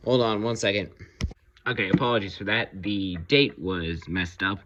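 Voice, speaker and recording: A man giving a casual, unscripted review of his hobby collection, talking haltingly and pausing mid-phrase. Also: lowish voice, dull audio, noisy background. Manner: halting, rambling delivery with pauses mid-phrase.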